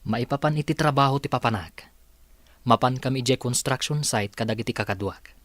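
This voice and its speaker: A man's voice: medium pitch, fairly quick, a bit bright, dry recording, natural voice. A man playing an easygoing, politely evasive young working man in an audio drama, with a Filipino Ilocano accent.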